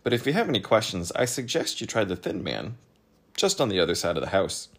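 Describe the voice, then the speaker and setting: A man voicing a proud, absent-minded ordinary fellow who talks brightly and eagerly. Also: fairly low pitch, very animated, fairly quick, slightly rough, full character voice. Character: chirpy, absent-minded ordinary man posing as four oddities.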